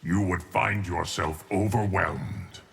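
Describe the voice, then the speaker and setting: A solemn immortal time guardian from a fighting game. He is low and gravelly, formal and unhurried, with noticeable noise behind him. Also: steady tone, a bit bright, exaggerated voice. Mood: solemn, stern. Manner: measured, formal, cryptic. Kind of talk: video game character dialogue.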